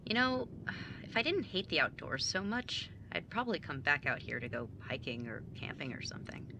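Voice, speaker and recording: A woman playing a bookish, high-strung young mage student in an audio drama, sarcastic and emphatic in a naturalistic way. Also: big pitch swings, fairly quick, background noise. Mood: exasperated.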